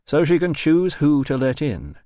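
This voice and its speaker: A man's voice: fairly high pitch, sing-song, normal speed, mellow, no echo, studio quality, voice pushed up. A man voicing a weary, patient doctor who speaks firmly and briefly. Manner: firm, tired, clipped.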